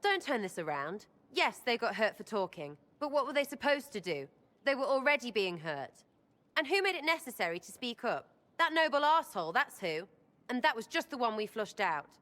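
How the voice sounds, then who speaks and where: A young English elf rebel for a video game, brash and quick to take offence. Her voice is bright and edgy, and it swings widely as she talks. She is cheeky, slangy and always spoiling for a fight. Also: fairly high pitch, big pitch swings. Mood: indignant. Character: brash, foul-mouthed young rebel elf woman, irreverent and indignant.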